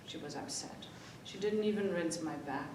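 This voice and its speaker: Female children's storyteller, expressive read-aloud, heard at a distance in a very reverberant room. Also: normal speed. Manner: expressive, acts out the dialogue. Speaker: library story-time reader. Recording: echoey room, distant mic, faint rumbly noise.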